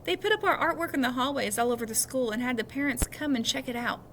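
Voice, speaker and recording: A woman's voice: sits high, quick pace, fairly bright tone, slight background noise. A woman reading a children's novel aloud expressively, now and then correcting a small slip. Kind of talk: read-aloud storytelling.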